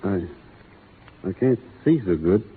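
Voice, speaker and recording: An actor playing a steady cowboy foreman who gives calm, clipped commands, in 1930s radio acting. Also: low-pitched, unhurried, husky, full character voice. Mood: grieving. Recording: tinny 1930s radio broadcast, faint hiss.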